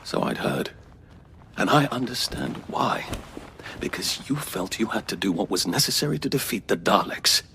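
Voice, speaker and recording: Casting: a fervent Time Lord envoy for peace, oratorical and persuasive, very animated intonation, radio drama. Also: male voice, on the low side, normal speed, vocal fry, noisy background. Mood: probing, persuasive. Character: earnest, zealous alien Time Lord peace negotiator. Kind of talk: audio drama acting.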